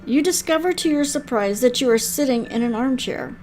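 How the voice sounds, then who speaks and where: A woman's voice: high voice, lively intonation, brisk, a little mellow, faint music behind, low-quality recording. An older woman hosting a gaming video, casually reading a visual novel aloud like a storybook. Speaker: gaming video host and reader.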